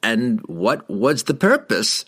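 A man voicing an arrogant, menacing wizard in a fantasy audiobook, slow and imperious as he presses his demands. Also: medium pitch, wildly swooping pitch, voice pushed up. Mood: smug, pressing.

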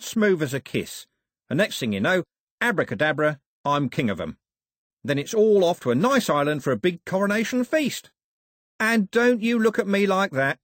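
A man's voice: on the high side, fairly bright tone, no echo, studio quality, voice pushed up. Man voicing a swaggering villain, swooping and jeering. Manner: theatrical, jeering, colloquial patter. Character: swaggering villainous sailor, mockingly cheerful murderer turned king.

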